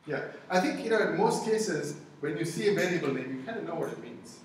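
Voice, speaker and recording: A man's voice: a little high, expressive, fairly quick, distant mic, a little hiss or hum. A middle-aged man whose first language is Tamil, giving a conversational university lecture, often checking in with the audience. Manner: conversational, many "you know" and "okay?" check-ins. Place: lecture hall with blackboard and projector. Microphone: far room camera. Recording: echoey lecture hall, picked up from afar.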